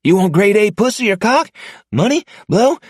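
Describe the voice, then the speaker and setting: A man voicing a panicked, sleazy lowlife man who frantically begs for his life in a strained, pitched-up voice. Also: very animated, normal speed, no room sound, studio quality. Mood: panicked pleading. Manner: frantic, pleading, later sneering. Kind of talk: audiobook character voice.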